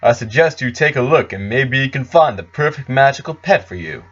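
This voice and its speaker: A man's voice: medium pitch, animated, a little fast, fairly bright tone. A man plays an eager male pony shopkeeper from a cartoon tale. He talks in courteous, folksy showman's patter. The sound is a little lo-fi. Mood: inviting. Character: folksy, eager male pony magical pet shop salesman.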